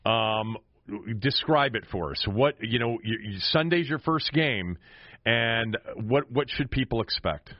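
An American man hosting a sports interview, asking questions in a casual, halting, informal way. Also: medium pitch, expressive, no room sound, highs cut off. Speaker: sports podcast host, interviewer.

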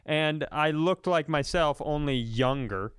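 A man's voice: a little high, measured pace, smooth, no echo. A man talking as a comedian podcast host in casual banter, rambling and riffing with plenty of swearing. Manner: rambling, riffing, profane storytelling.

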